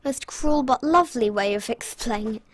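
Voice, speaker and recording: A woman's voice: on the high side, normal speed. A young British woman chatting casually through her gameplay, rambling with self-mocking asides. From Britain. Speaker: gaming channel host.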